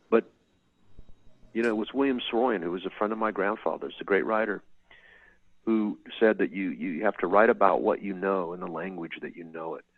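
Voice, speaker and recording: A man's voice: low-pitched, dry recording. A middle-aged man from California, an author answering interview questions thoughtfully, often repeating words and restarting his sentences. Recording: dry tinny video-call audio.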